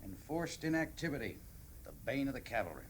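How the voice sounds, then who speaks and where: An actor playing a wry, authoritative cavalry major in a classic radio drama, speaking in a measured way. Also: male voice, medium pitch, big pitch swings, breathy edge. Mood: wry, confiding. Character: wry, weary male cavalry major, commanding officer. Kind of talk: classic old-time radio drama acting.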